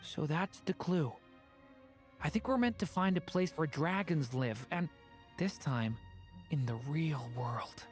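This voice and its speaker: A woman playing a gentle young dragon boy in a video game, speaking politely, thoughtfully and sincerely. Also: sits low, takes it slowly. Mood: curious. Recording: faint background music.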